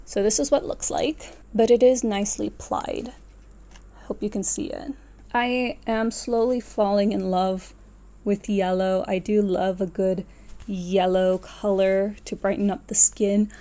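A woman in her twenties talks casually to camera at home. Her voice is dark and relaxed, sitting at an ordinary female pitch. She rambles a little, muttering to herself and correcting what she just said. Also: unhurried. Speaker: knitting vlogger. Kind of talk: casual chatty yarn haul to camera. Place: small furnished room at home, indoors.

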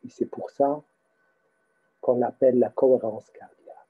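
A man guiding a meditation as its teacher, speaking haltingly in short phrases with pauses between them. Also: medium pitch, steady tone, husky, phone-quality sound. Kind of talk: guided meditation instruction.

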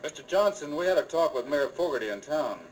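An actor playing a calm, easygoing frontier marshal in an old radio western. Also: male voice, a little high, fast, natural voice, rough recording. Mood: steady, serious. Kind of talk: 1950s radio western acting.

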